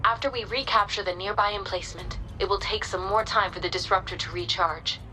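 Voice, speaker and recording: An actress playing a composed, dutiful researcher in a video game, reporting in a formal, precise way. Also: female voice, medium pitch, a little fast, sharp tone, faint music behind, lightly acted, low-quality recording. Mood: composed, serious. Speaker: researcher and support operator.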